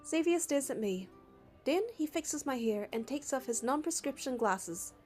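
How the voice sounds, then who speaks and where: High-voiced woman narrating a game story. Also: animated, moderate pace, darkish tone. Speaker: narrator and reader of a game playthrough. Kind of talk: scripted story narration with dialogue read aloud. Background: background music.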